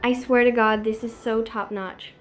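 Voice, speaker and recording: A woman with a high voice chats casually with gamer friends. Music plays faintly behind her. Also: fairly even intonation, moderate pace. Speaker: friend in a gaming voice chat. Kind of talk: casual chat.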